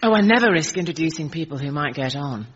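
A woman co-hosting a comedy show, trading deadpan comic banter. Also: deep voice, fast, breathy edge, lo-fi sound.

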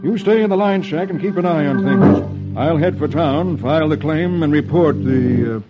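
Commanding cowboy-comic villain, done by a man, deliberate, dull old radio sound, loud organ underneath. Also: a little high, natural voice. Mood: scheming. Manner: deliberate, order-giving. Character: scheming, commanding western villain, boss of henchmen. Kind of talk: old-time radio funnies reading. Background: loud organ music.

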